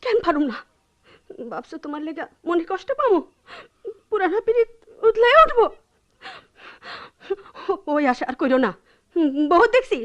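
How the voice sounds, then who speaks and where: চড়া গলার মুখরা গ্রাম্য বউ. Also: female voice, very high-pitched, sing-song, moderate pace, pauses often, lo-fi sound. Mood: bitter, defiant. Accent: rural Bangladeshi. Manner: scolding, stubborn. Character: fed-up, sharp-tongued poor village wife. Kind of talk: Bengali radio drama acting.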